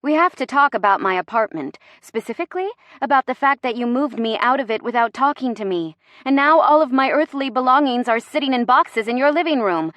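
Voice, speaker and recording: A woman's voice: a little high, animated, normal speed, fairly bright tone, no echo, highs cut off, pitched above the performer's own voice. A woman voicing an impulsive, emotional young woman, the heroine of a romance story, with emphatic delivery. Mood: exasperated. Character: impulsive, dramatic, apologetic young heroine in love.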